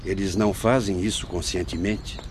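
An actor playing a kindly old Indian spirit who directs an asylum in a radio drama, courteous, solemn and didactic, with a Brazilian accent. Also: male voice, sits low, steady tone, normal speed, a little hiss or hum, close to an everyday voice. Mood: grave, compassionate. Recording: music behind the voice.

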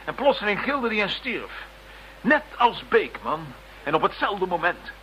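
An urgent police officer in a radio play. His voice is bright and tense and sits somewhat high. A faint hum runs under him. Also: very animated, a little slow, heavily acted, poor audio. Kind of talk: radio drama acting.

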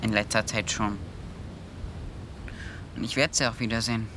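A man's voice: lowish voice, fairly even intonation, normal speed, noisy background, lightly acted. A young man playing a hopeful, modest teenage boy on a polar expedition in a radio play, speaking plainly and a little hesitantly. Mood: quietly confident. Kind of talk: German radio play acting.

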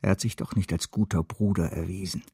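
Very husky, brooding financier. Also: male voice, low voice, steady tone, fairly quick, mellow, no room sound, studio quality, exaggerated voice. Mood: vengeful, calculating. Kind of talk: German radio drama acting.

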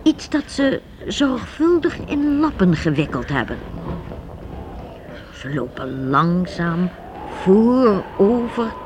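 Casting: storybook narrator, unhurried and very animated, old radio recording with slight noise. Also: medium pitch, dull audio. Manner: expressive, suspenseful, deliberate.

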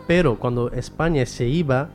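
A man's voice: medium pitch, normal speed, a little mellow, soft background music. A man from Western Sahara explaining politics as a radio interview guest, often posing questions and answering them himself. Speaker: guest, member of a Sahrawi association.

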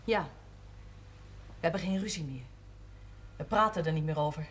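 A woman's voice: sits low, normal speed, natural voice. A woman playing a resigned, matter-of-fact young wife and mother in a naturalistic television drama. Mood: subdued, resigned. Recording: noisy old television drama soundtrack.

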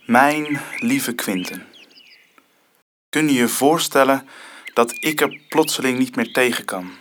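An actor playing a young son in a radio drama, reading a letter aloud in a measured way. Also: male voice, mid-range voice, a bit bright, a little hiss or hum, natural voice.

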